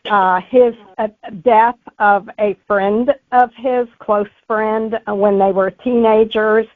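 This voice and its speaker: A woman's voice: medium pitch, no echo. A woman being interviewed as an author, giving conversational answers over a thin phone-like connection. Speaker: author guest.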